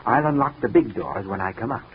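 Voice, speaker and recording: A dull, faintly hissy 1940s radio recording carries an actor playing a moody gentleman composer. He is measured and solicitous with a sinister undertow, and his pitch moves in wide, dramatic swings. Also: animated, lightly acted. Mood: calmly persuasive. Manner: measured, coaxing, outwardly solicitous. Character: smooth, moody composer brother, quietly sinister killer. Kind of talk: 1940s radio mystery acting.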